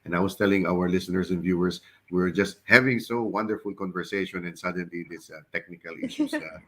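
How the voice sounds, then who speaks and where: A man hosting a casual online interview, his voice slightly raspy as he gets over the flu, speaking haltingly and often repeating words. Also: low-pitched, pushed and bright. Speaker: podcast host. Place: remote video call.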